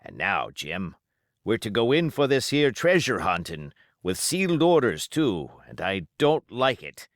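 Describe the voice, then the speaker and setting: A man voicing a cunning, smooth-talking pirate with folksy, ungrammatical seafaring speech in an audiobook. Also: fairly low pitch, wildly swooping pitch, measured pace, no room sound, clean studio sound. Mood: confiding, wary. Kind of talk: audiobook character dialogue.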